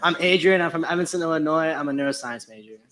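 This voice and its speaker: A young man on a student panel, talking in a halting, hesitant way. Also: fairly high pitch, crowd noise. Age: young adult. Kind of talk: casual chat.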